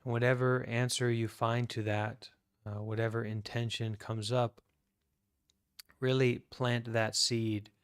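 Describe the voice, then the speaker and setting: An American man, a Buddhist monk, leading a guided meditation in a calm, deliberate way with many pauses. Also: mid-range voice, clean tone, no echo, clean studio sound. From United States.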